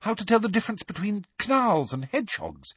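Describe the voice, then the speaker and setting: A man's voice: high voice, very animated, a little fast, a bit bright, husky, no room sound, voice pushed up, exaggerated voice. A man voicing an earnest anxious schoolgirl.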